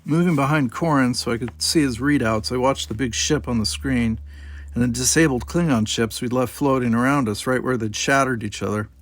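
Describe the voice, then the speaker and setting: A man's voice: mid-range voice, a little fast, no echo. A man reading dramatic first-person scripted narration as an audiobook narrator.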